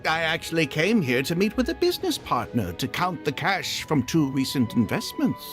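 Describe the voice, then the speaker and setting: Casting: wordy middle-aged game character, avuncular and placating, very animated pitch on the higher side. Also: male voice, normal speed, faint music behind. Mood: lecturing. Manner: wordy, cautious, avuncular lecturing. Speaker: voice actor in a game. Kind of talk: acted video game dialogue.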